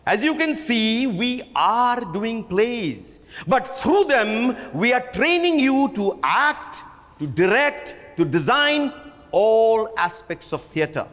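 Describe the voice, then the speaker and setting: A man playing an earnest, imperious middle-aged theatre director in a dramatic reading, with deliberate, emphatic oratory. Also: very high voice, very animated, a bit bright, heavily acted. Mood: firm, patient. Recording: reverberant hall.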